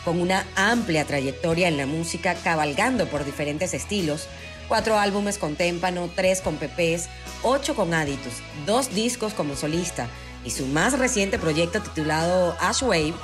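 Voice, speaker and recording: A woman reading a scripted introduction of a guest as announcer, formal and smooth. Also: on the low side, lively intonation, moderate pace. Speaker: show announcer. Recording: music clearly under voice-over.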